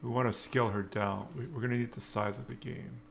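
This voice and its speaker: A man giving a casual coding screencast, thinking aloud in halting, unscripted phrases. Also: mid-range voice, fairly even intonation, gravelly, slight background noise. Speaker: programming tutorial presenter.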